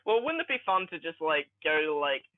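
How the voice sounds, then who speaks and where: A man's voice: a little high, very animated, brisk, no echo. A young man co-hosting a casual comedy podcast, talking in slangy, sweary bursts on dull lo-fi audio. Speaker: podcast co-host.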